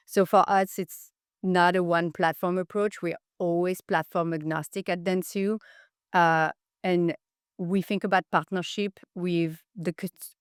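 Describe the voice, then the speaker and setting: A woman answers interview questions in a conversational, explanatory way. Her voice sits slightly low and sounds clean and resonant, with very little room sound. She comes across as an enthusiastic executive guest. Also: clean tone, no room sound, studio quality. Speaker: podcast guest, marketing executive.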